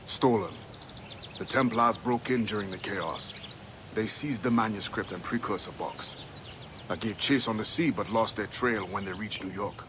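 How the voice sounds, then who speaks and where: An actor playing a grave, dignified older seafaring assassin captain, speaking in a solemn, measured way in a game cutscene. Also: male voice, fairly low pitch, background noise, close to an everyday voice. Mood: grave, mournful.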